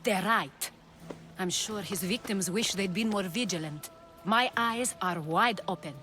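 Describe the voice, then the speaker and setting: An actress playing a self-assured, shrewd leader of ancient Greek courtesans in a video game, speaking in a measured, formal way. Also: female voice, mid-range voice, pushed and bright. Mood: determined. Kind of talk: video game cutscene acting. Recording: music behind the dialogue.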